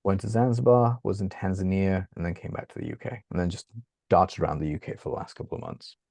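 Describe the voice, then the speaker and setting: Deep-voiced man in his thirties. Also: fast talker, dry recording. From England. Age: 30s. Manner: animated, laughs often, swears casually. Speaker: guest. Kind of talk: casual interview chat. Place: childhood bedroom at home. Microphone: video call.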